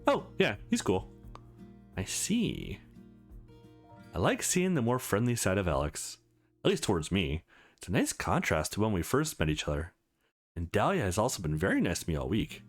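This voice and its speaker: A man hosting a visual novel playthrough, reading the story aloud and adding casual, chatty commentary, with music behind him. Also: fairly low pitch. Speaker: gaming channel host.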